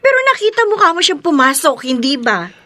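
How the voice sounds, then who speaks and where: Radio drama wife, anxious and emphatic, very high bright voice with lively swings. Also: female voice, a little fast, full character voice. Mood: incredulous, puzzled. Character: anxious, questioning village wife.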